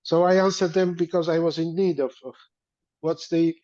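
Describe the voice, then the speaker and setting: A man from Poland with a Polish accent, speaking in an interview as a guest and pausing often with fillers and restarts. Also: on the high side, fairly even intonation, dark tone, muffled recording. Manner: hesitant, many fillers and restarts. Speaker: museum director, guest. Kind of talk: interview, casual talk.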